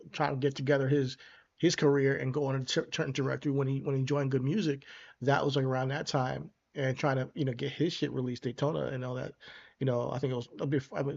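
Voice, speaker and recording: A man co-hosting a podcast, speaking in a measured, hesitant way as he thinks aloud in a casual debate. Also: mid-range voice, creaky, darkish tone. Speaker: podcast co-host. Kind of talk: casual debate about rap. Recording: dull lo-fi audio.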